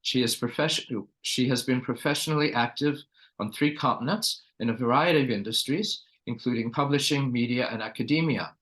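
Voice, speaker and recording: A man's voice: mid-range voice, brisk, a bit bright. A man hosting an interview, reading a formal introduction and asking polite questions. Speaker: interview host.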